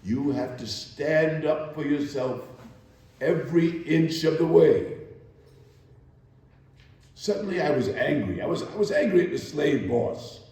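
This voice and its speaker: A man giving a theatrical first-person dramatic reading, deliberate and emphatic with dramatic pauses, recorded far from the mic in an echoey room. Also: on the high side, animated, darkish tone. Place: live performance in reverberant room.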